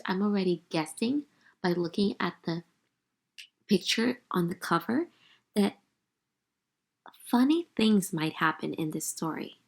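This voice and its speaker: Female primary teacher, mid-pitched voice, guiding children through a storybook with expressive delivery and direct questions. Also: vocal fry. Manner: expressive, addresses young listeners directly with questions. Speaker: teacher reading aloud to young children. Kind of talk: interactive picture-book read-aloud with commentary.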